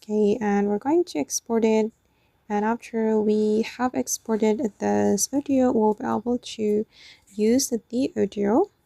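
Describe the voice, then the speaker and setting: A woman's voice: fairly high pitch, steady tone, unhurried, gravelly, mellow, dry recording, studio quality. A woman hosting a casual step-by-step app tutorial, explaining it off the cuff in a slightly hesitant way. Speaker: tutorial host.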